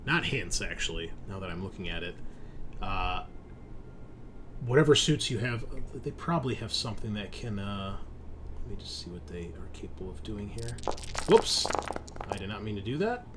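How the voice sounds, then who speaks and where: A man narrating a tabletop role-playing game as game master, conversational and halting as he thinks aloud. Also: lowish voice, lively intonation, background noise.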